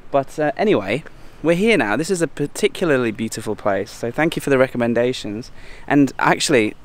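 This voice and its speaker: A man, chatting casually to a handheld camera as he travels, talking to animals in a high playful voice now and then. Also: lively intonation, a little fast. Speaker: travel vlogger. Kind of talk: casual travel vlog chat. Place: outdoors at a petting farm and a dam. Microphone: handheld camera at arm's length.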